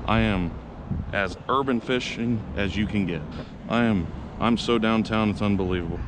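A man vlogging while fishing outdoors, narrating casually and cheering loudly when fish bite. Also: lowish voice, moderate pace. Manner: excitable, bursts of loud cheering on catches. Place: outdoors by urban water, windy. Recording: windy outdoor audio, clear background noise.

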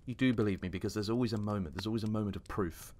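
A man's voice: fairly low pitch, rapid-fire, a little mellow, lightly acted. A man playing a mysterious stranger, earnest, insistent and ominously knowing, in a dramatic script reading.

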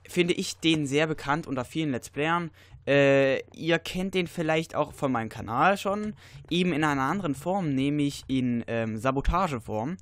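Stuffy-nosed teen gamer, dry close sound. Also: male voice, a little high, normal speed, no echo. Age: teens. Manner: rambling, many fillers, sudden excited outbursts. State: stuffy, has a cold. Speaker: let's player. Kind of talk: casual gameplay commentary.

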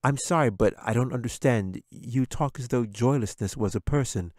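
A man's voice: medium pitch, normal speed, darkish tone, no room sound, clean studio sound, close to an everyday voice. A man voicing a bewildered, polite and timid girl in a fantasy audiobook, hesitant and questioning. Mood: puzzled, timid. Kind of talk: audiobook character voice.

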